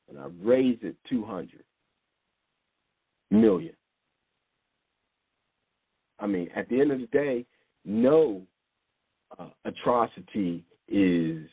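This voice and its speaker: A man's voice: on the low side, slightly rough, dry recording. A man preaching informally in a rambling, blunt way, often repeating words and restarting. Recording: tinny phone-like sound, dry.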